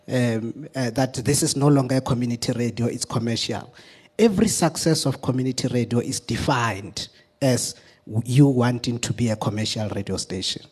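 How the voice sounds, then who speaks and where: A man speaking off the cuff on a panel, earnest and deliberate, in stop-start phrases with repeated words. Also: medium pitch, darkish tone, slightly rough. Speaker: community radio representative on a panel. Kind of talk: extemporaneous panel discussion remarks.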